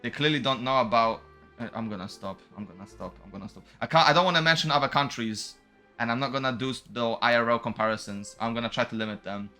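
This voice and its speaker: A man's voice: mid-range voice, fast talker, bright, forceful tone, faint music behind. A man giving casual, joking game commentary with slang and swearing, sometimes reading dialogue aloud. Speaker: game streamer.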